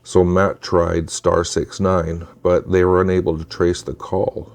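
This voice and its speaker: A man narrating spooky true stories in a scripted, measured and deliberate storytelling style. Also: low voice, flat delivery, gravelly, darkish tone.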